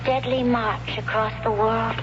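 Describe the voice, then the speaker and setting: A woman's voice: fairly high pitch, steady tone, measured pace, close to an everyday voice. Woman acting a mournful echoing voice from the past. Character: mournful recorded voice of a long-dead female ancestor. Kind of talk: 1970s radio drama acting. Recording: long reverberant hall sound, dull noisy radio.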